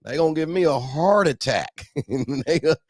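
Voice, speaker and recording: A man's voice: a little high, measured pace, no room sound, clean studio sound. A man hosting a casual call-in talk show, telling slangy anecdotes about trucking and acting out bits of dialogue. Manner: slangy, animated anecdote telling with acted-out quotes. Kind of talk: casual trucking chat and storytelling.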